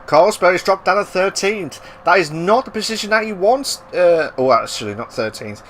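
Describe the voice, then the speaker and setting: A man giving live racing commentary, excitable and bursting into loud exclamations at the action. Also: high voice, normal speed, a little hiss or hum. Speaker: race commentator.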